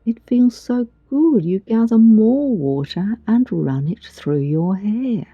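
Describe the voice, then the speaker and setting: A woman guiding a meditation in a soothing, gentle, deliberate way with long pauses, recorded with a muffled sound. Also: medium pitch, animated, no room sound. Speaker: meditation guide. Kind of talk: guided meditation narration. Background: background music.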